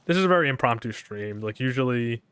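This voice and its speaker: A young man streaming a game, talking in a casual, rambling way with a monotone delivery, full of fillers and restarts. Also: mid-range voice, quick pace, clear, resonant tone, dry recording. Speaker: gaming streamer.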